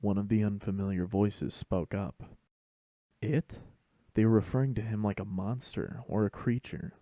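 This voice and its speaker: Low, gravelly male story narrator. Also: mellow, no echo. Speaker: host and narrator of a fan fiction podcast.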